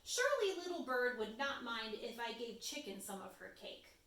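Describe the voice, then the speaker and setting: Woman voicing a sweet, high-pitched little boy mouse. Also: big pitch swings, normal speed, fairly bright tone. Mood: kindly reasoning. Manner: sweet, earnest, exclaiming. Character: kind, polite, gullible little male mouse. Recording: roomy echo, distant microphone.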